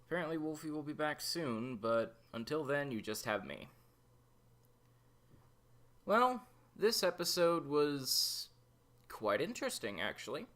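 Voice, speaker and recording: A man hosting a review show, giving opinionated episode commentary in a deliberate way. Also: fairly high pitch, big pitch swings, a bit bright. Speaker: host of an animated series review show.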